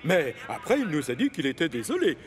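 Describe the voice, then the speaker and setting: Casting: pompous cartoon railway chief, fairly high voice, hugely varied intonation, authoritative and emphatic. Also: male voice, huge pitch swings, brisk, slight background noise. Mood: firm, lecturing. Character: stern, pompous but fair railway controller. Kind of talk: animated children's series dub.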